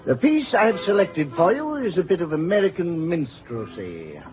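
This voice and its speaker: An actor playing a pompous, boozy musician who declaims in florid, grandiloquent phrases in a 1950s radio drama. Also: male voice, a little high, very animated, normal speed, background noise. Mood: theatrical. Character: pompous, boozy down-and-out male musician, theatrical and stubborn. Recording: tinny vintage radio, music behind.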